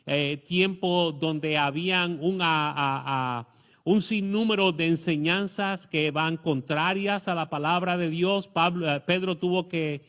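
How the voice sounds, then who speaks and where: A man's voice: on the high side, fairly even intonation, unhurried, fairly bright tone. Hesitant Puerto Rican man preaching. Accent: Puerto Rican Spanish. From Puerto Rico. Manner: hesitant, many fillers and restarts. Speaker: pastor. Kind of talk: sermon.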